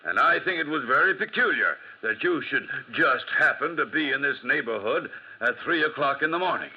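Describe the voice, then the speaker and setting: A man's voice: medium pitch, animated, a little fast, husky. A man playing a pompous, foolish French gendarme in a 1940s radio drama, officious and blustering. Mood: insinuating. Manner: officious, blustering, hesitant when challenged. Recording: muffled 1940s radio recording.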